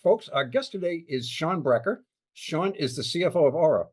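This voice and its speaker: A man's voice: on the high side, expressive, moderate pace. A man hosting a podcast, chatting casually as he interviews a guest. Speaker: podcast host.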